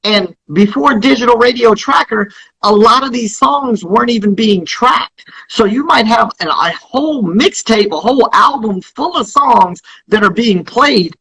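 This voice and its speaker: A man speaking as an interviewed company founder, explaining things in an emphatic, salesman-like way with a husky voice. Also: very high-pitched, a little slow, bright, forceful tone, poor audio.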